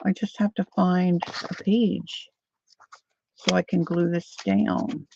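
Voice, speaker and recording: An older woman chatting casually to viewers while she crafts, thinking out loud as she works. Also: fairly low pitch, steady tone, takes it slowly, creaky, a little hiss or hum. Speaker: craft livestreamer. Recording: dull lo-fi dry sound.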